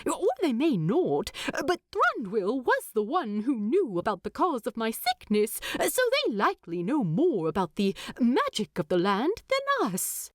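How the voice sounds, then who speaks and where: A woman voicing an excitable male hobbit in a storybook narration, talking eagerly and persuasively with hesitant rambles. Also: a little high, a little slow, hardly any pauses, no echo, studio quality. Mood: eager, rambling.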